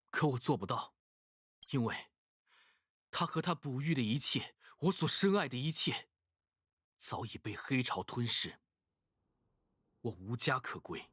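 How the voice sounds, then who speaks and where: A man's voice: medium pitch, husky, no room sound, clean studio sound. A man playing an earnest young hero who addresses an assembly in a video game, solemn and impassioned. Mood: grieving, solemn. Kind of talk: dramatic game oratory in Mandarin.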